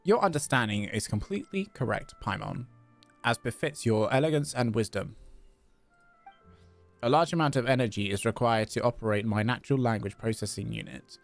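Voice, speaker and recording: A man voicing a polite, formal, flattering clockwork robot while reading game dialogue aloud. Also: mid-range voice, normal speed, soft background music, close to an everyday voice. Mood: precise, deferential. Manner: stiff, formal, deferential.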